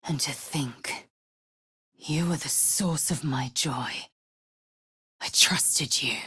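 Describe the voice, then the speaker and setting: A woman voicing a fierce, haughty young alien warrior in a video game, with a husky, breathy rasp and a clipped, imperious delivery. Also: sits low, takes it slowly, pushed and bright, no room sound, clean studio sound, heavily acted. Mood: anguished, indignant. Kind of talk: video game character voice lines.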